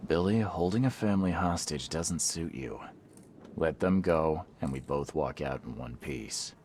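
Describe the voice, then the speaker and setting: A man playing a weary, hard-boiled private eye in a video game, with a gravelly voice and a terse, dry manner. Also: expressive, measured pace, a little mellow, slight background noise, exaggerated voice. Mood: firm. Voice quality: very deep, gravelly, rough. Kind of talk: video game cutscene acting.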